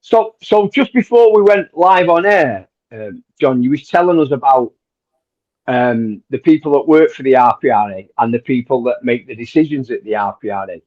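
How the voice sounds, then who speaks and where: A man's voice: mid-range voice, lively intonation, moderate pace, a little mellow. A man hosting a podcast, talking casually with lots of hesitations and repeated words. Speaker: podcast host. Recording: dull lo-fi audio.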